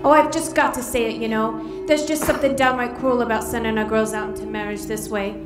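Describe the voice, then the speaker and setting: An actress plays a middle-aged mother turning over her sorrow in a rueful monologue. Her voice sits fairly high. Echoey old film soundtrack, with orchestral score underneath. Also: steady tone, fast, music in the background, close to an everyday voice. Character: tearful middle-aged mother before her daughter's wedding.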